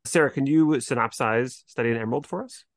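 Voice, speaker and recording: A man's voice: mid-range voice, fast talker, no room sound, clean studio sound. A man hosting a podcast, speaking casually as he steers the discussion. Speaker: podcast host.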